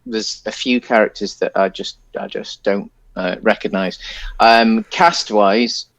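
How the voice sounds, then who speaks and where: A man's voice: on the low side, steady tone, unhurried, gravelly, fairly bright tone, no room sound. A man co-hosting a podcast, casually discussing a film in a hesitant, rambling way. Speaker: podcast co-host.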